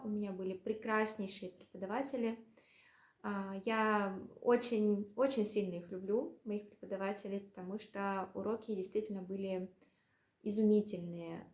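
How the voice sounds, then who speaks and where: A woman's voice: medium pitch, a little mellow, reverberant. A young woman from Russia with a Russian accent, a vlogger chatting casually to the camera and joking at her own expense, with many fillers.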